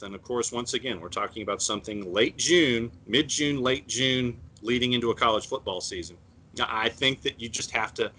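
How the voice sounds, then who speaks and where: A man co-hosting a sports podcast, talking in a measured way with many fillers and restarts. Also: medium pitch, pushed and bright. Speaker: podcast co-host. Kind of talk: casual sports talk.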